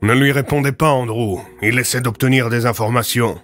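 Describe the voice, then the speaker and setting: A man's voice: medium pitch, steady tone, a little fast, a bit bright, natural voice. A man playing a cautious, alarmed comrade in an audio drama, urgently warning the others. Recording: music under the voice.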